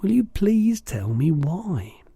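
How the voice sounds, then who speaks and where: A man reads the part of a young storybook girl, polite, patient and full of questions. The delivery is soft and a little husky, with a mellow tone. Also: sits high, sing-song, takes it slowly, dry recording, pitched above the performer's own voice, heavily acted.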